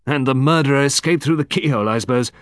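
A man's voice: medium pitch, quick pace, dry recording, clean studio sound, voice pushed up, lightly acted. A man voicing a sceptical, sardonic older army colonel with a pointed manner in an audiobook.

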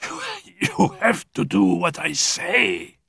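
A man's voice: lively intonation, takes it slowly, vocal fry, fairly bright tone, slightly rough, no echo, full character voice. A man voicing a frail, feverish young woman who is dying but defiant, coughing and laughing breathlessly as she shrieks. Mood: mocking gleeful. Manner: coughing fits, breathless laughter, shrill outbursts.

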